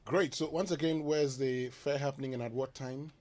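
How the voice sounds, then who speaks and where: A man hosting a morning radio show, brisk and upbeat as he wraps up the interview. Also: medium pitch, steady tone, darkish tone. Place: radio studio.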